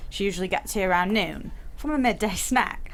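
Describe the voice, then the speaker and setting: A woman's voice: medium pitch, expressive, a little fast, a bit bright, slight background noise. A woman playing a vain, pampered seventeen-year-old girl with a haughty, dramatic manner in a comedy script read. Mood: snooty.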